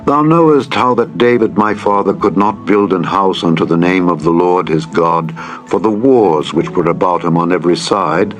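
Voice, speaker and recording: A man reading scripture as a narrator, in a solemn, measured and deliberate dramatized style. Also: lowish voice. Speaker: narrator, Bible reader. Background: background music.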